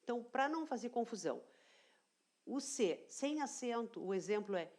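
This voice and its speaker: A woman teacher explaining grammar in an online lesson, deliberate and repeating key phrases, often checking in with her students. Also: on the high side, expressive, darkish tone. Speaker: language teacher.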